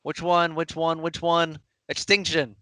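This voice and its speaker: Male podcast contestant, bright forceful tone, fairly high pitch, casual and self-deprecating. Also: flat delivery, unhurried, no room sound. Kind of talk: casual chat.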